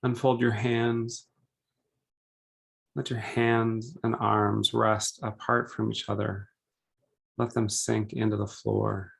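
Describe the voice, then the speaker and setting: A man leading a guided meditation, speaking gently and deliberately in short phrases with pauses between them. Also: on the low side, little pitch movement, creaky, breathy edge.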